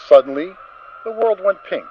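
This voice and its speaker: A man narrating a story as a dramatic reading, with expressive, theatrical emphasis. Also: high voice, moderate pace. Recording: dull recording with faint noise.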